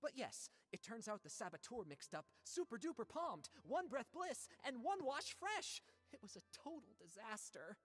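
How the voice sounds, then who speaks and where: Exasperated, husky, swooping young worker. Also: female voice, a little high, normal speed, in a large hall. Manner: expressive, emphatic. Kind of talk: game voice acting.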